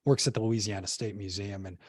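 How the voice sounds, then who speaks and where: A man's voice: lowish voice, steady tone, fast talker, crowd noise. A man, a podcast guest, telling history in a casual, rambling way full of fillers and restarts. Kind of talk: casual conversational storytelling.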